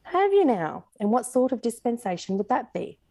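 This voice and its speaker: A woman's voice: on the high side, sing-song, normal speed, warm tone, dry recording, voice pushed up. A woman reading the part of a friendly, curious male painter in a romance novel, with a teasing, inquisitive manner.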